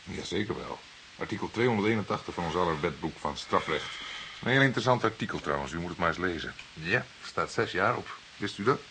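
An actor playing a skeptical, outspoken junior police detective in a Dutch radio drama, argumentative and emphatic. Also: male voice, sits low, animated, brisk, sharp tone, full character voice. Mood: pointed, smug. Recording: hissy old radio play recording.

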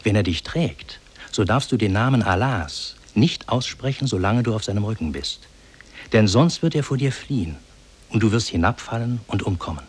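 A solemn spirit in human shape with a low, husky voice. He declaims formally and kindly, in the measured manner of old radio drama. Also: animated. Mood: grave, instructing. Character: solemn, benevolent male demon in human form. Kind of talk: 1950s German radio drama acting.

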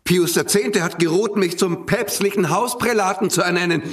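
High-voiced, fussy, dignified priest. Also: male voice, moderate pace, fairly bright tone. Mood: indignant. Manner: indignant, pompous, fussy. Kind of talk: German radio drama acting.

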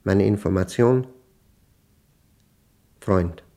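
An actor playing a brooding, obsessive mathematician who narrates his diary in a German radio play, speaking solemnly in deliberate, clipped fragments. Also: low voice, vocal fry, mellow, heavily acted. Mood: tense, obsessive.

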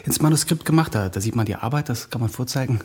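Young writer in radio drama, talks fast, bit husky, polite but touchy. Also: male voice, medium pitch, expressive, darkish tone. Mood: anxious, polite. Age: young adult. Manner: hesitant, polite, defensive. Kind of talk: German radio play acting.

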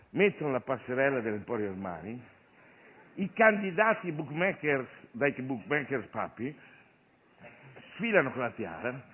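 A male comic actor delivering an animated, digressive satirical monologue on stage, addressing the audience and his sidekick. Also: mid-range voice, husky. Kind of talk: theatrical stand-up monologue with a sidekick. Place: theatre stage. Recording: noisy, narrow phone-like radio recording of stage show.

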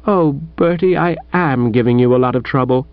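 A man's voice: medium pitch, moderate pace, no echo, highs cut off, lightly acted. A man voicing an anxious, apologetic young woman in a comic audiobook, in a questioning manner. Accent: British.

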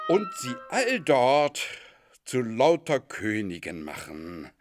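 Bombastic, swooping self-styled god Jupiter. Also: male voice, mid-range voice, huge pitch swings, slow pace, over music. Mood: prophetic, commanding. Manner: declamatory, bombastic, solemn. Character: pompous madman who believes he is Jupiter, grandiose prophet. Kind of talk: theatrical literary radio drama in archaic German.

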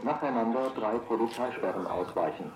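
A man's voice: lowish voice, expressive, fairly quick, crowd noise. Echoey, distant male newsreader. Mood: formal, grave. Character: formal male radio newsreader warning of fugitive. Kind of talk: news bulletin within a radio play. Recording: echoey, distant radio bulletin.

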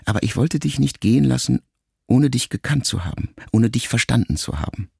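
An actor playing a grieving grown son, a writer, in an intimate, measured literary radio monologue addressed to his mother. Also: mid-range voice, lively intonation, gravelly, dark tone, husky, no room sound, clean studio sound, heavily acted. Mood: sorrowful, tender. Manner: measured, reflective, intimate, with deliberate pauses.

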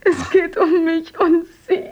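An actress playing a stubborn, passionate young foster daughter in a radio play, talking intensely and pleading. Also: female voice, very high voice, flat delivery, a little slow, darkish tone, muffled recording. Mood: bitter, determined. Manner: intense, pleading, sometimes whispering. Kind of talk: radio drama acting.

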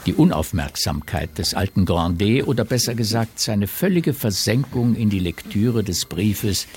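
A man reading scripted literary narration for a German radio drama in a husky voice. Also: lowish voice, lively intonation, normal speed, darkish tone, slight background noise.

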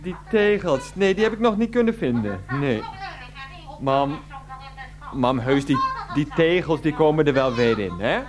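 A grown son stuck on a phone call with his mother in a radio drama. He is whiny and exasperated, trying to placate her. His pitch swings widely and his delivery is slow, with many pauses. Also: expressive. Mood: placating, irritated. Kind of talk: radio play acting, one-sided phone conversation.

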